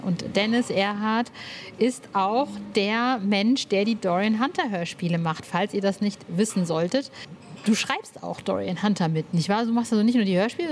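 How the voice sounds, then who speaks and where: A woman's voice: medium pitch, a little mellow, a little hiss or hum. A woman hosting a podcast interview in a casual, enthusiastic, slangy way.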